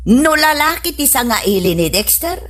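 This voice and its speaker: A woman's voice: high voice, big pitch swings, normal speed, fairly bright tone. A woman with an Ilocano Filipino accent playing an exasperated, nagging wife and mother in a radio comedy drama. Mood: scolding. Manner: nagging, exclamatory.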